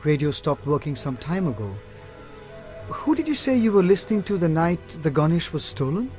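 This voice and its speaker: Radio detective: sharp, protective, articulate, animated intonation, slight music bed behind. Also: male voice, fairly high pitch, moderate pace. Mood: probing. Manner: probing, intent, articulate. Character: earnest, sharp-minded private detective, protective of companions. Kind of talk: radio drama acting.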